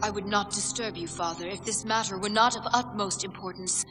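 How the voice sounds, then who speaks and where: A woman's voice: medium pitch, a little fast, big-room sound, lightly acted. A woman playing a proud, earnest young warrior princess in a video game, with formal, stately diction. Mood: formal, indignant. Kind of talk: video game cutscene acting. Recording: loud music behind the voice.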